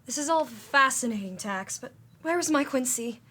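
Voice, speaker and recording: A woman's voice: high-pitched, animated, moderate pace, pushed and bright, a little hiss or hum. An actress playing an anxious, impatient female client searching for a missing man in a noir skit. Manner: pleading, impatient.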